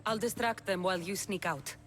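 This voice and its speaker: A woman's voice: mid-range voice, a little fast, faint music behind, close to an everyday voice. An actress playing a bold, blunt female mercenary in a video game, speaking firmly and with command. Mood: resolute. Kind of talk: video game cutscene acting.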